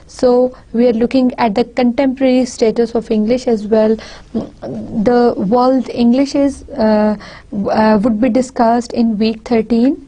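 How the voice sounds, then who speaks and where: Slow, mellow Pakistani woman lecturing. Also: fairly high pitch, steady tone, low-quality recording. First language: Punjabi. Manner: deliberate, repeats and restarts phrases. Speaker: university lecturer. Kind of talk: recorded lecture.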